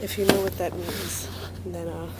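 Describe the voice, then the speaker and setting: A young woman, a university student, chatting casually in a vlog with a slightly hoarse voice, upbeat and rambling, filled with ums. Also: fairly low pitch, little pitch movement, moderate pace, very noisy. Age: young adult. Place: outdoors on a campus, then an indoor study corner.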